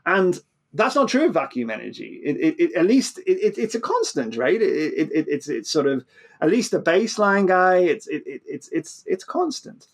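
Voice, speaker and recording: Male interview guest, slightly high voice, conversational explainer who stammers over his starts. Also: very animated, moderate pace. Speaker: physicist guest. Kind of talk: animated explanatory interview talk.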